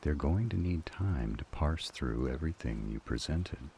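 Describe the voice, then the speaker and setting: A man playing a stern, pedantic male demon in an audio drama, calm, measured and formal. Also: rumbling low, gravelly, noisy background, full character voice. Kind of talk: dramatic audio roleplay acting.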